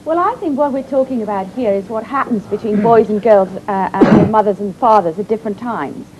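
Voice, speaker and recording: A woman speaking as an expert guest, calmly explaining family relationships. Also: medium pitch, brisk. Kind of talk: explanatory commentary. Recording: lo-fi TV recording, faint hum.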